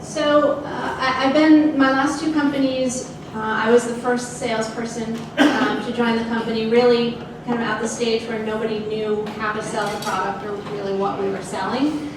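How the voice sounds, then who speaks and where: Flat-toned woman speaker in echoey hall. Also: a little high. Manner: measured, thoughtful, many fillers. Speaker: guest speaker, sales executive. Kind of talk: onstage fireside chat. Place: large open office event space with audience. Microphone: handheld mic heard from a distant camera. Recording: echoey room from far off with noise.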